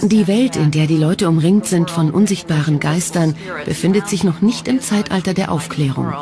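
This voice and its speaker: Woman's documentary voice-over with clear background music. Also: lowish voice, normal speed.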